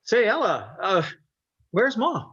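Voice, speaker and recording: A man's voice: sits high, huge pitch swings, slow, bright, forceful tone, husky, lo-fi sound, full character voice. An actor playing a mild, unsuspecting husband, a mama's boy, in an old-time radio drama. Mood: puzzled, mild.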